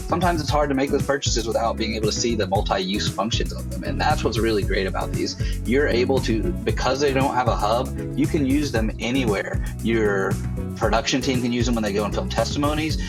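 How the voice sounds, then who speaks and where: A man in his thirties giving an explanatory testimonial into a close mic at home. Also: on the low side. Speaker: church creative director, interviewee. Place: bedroom at home. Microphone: close dynamic mic on boom arm. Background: background music.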